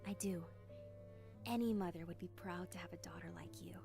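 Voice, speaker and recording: A woman voicing an earnest, caring cartoon pony friend, measured and sincere. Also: lowish voice, music in the background, natural voice. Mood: warm, reassuring.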